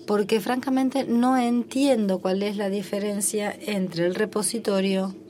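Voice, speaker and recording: A woman working as a simultaneous interpreter at a formal meeting, relaying each speaker in the first person and pausing often with filler sounds. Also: mid-range voice, steady tone, warm tone.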